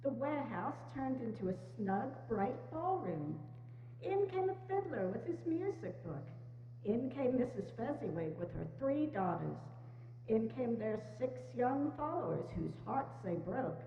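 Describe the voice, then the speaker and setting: A woman's voice: on the high side, big pitch swings, measured pace. A woman narrating a scripted story at a dramatic reading, recorded in a church hall. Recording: dull, noisy, echoing church hall.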